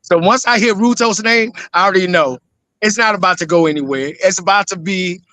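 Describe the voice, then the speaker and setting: A man's voice: sits high, brisk, sharp tone, no echo. A man from Ghana speaks in a slightly raised voice. He is an earnest, preachy panelist who circles back to the same points. His audio is close and dry, with no room sound, as he talks over a video call. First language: Twi. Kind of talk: casual talk show discussion. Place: home room, remote.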